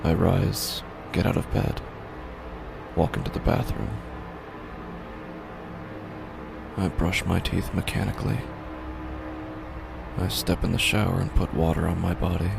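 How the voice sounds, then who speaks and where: An actor playing a weary, haunted walking-dead man in a horror audio drama, brooding and numb, with halting, deliberate phrasing. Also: low voice, gravelly, full character voice. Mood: numb, exhausted.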